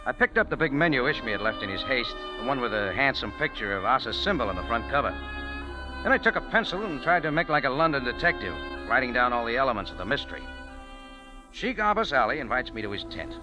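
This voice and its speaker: A man's voice: mid-range voice, sing-song, poor audio. A man in a 1940s radio drama playing a wisecracking café owner who also narrates in a hardboiled tone. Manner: wry, brisk, hardboiled. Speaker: lead and narrator. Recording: old radio broadcast with music.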